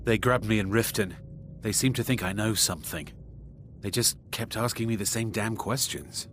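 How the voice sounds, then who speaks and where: A man's voice: fairly low pitch, moderate pace, fairly bright tone, slight background noise, natural voice. An actor playing a wary male thief held prisoner in a fantasy video game dungeon. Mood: wary, grateful.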